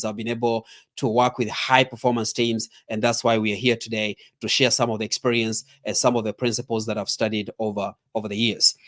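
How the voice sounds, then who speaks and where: Man, middle-aged, Kenyan accent, medium pitch, motivational trainer, emphatic delivery, direct address. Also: a bit bright. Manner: emphatic, repeats key points, addresses listeners directly. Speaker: leadership trainer and coach.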